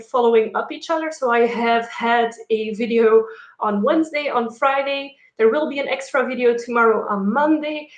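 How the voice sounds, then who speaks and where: A woman's voice: fairly high pitch, reverberant. A young woman with a Dutch accent, a native speaker of Dutch and French, chatting casually with her viewers on a live stream, with many fillers. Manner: chatty, frequent fillers and laughs. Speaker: YouTuber.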